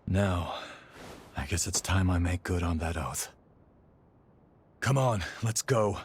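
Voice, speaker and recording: An actor playing a gruff, loyal warrior in a video game, brooding and blunt, with casual wording and firm resolve. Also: male voice, sits low, expressive, slow pace, a little hiss or hum. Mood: rueful, determined. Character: gruff, loyal male warrior determined to rescue his friend. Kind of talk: video game cutscene acting.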